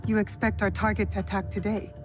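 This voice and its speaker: A woman's voice: medium pitch, little pitch movement, quick pace, music in the background, lightly acted. Guarded, wry young shinobi woman played by an actress. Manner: cool, direct, restrained. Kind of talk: video game cutscene acting.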